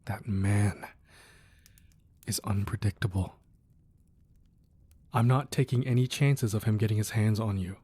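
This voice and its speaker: A man playing a protective, ruthless crime boss who is tender with his wife, speaking softly and earnestly in an intimate romantic ASMR roleplay. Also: on the low side, steady tone, no room sound. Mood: worried, tender. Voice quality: soft, husky, breathy. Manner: earnest, measured, firm yet affectionate.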